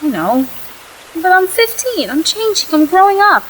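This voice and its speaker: A woman's voice: very high-pitched, big pitch swings, moderate pace, a bit bright, slight background noise, heavily acted, rough recording. A young woman playing a restless, defensive fifteen-year-old in a contemporary audio play, with a casual, youthful manner.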